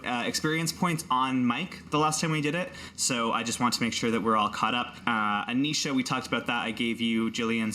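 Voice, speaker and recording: A man with a bright, forward voice at an average male pitch leads a tabletop role-playing game. He works as the game master, narrating scenes and talking casually with his players. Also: slight background noise.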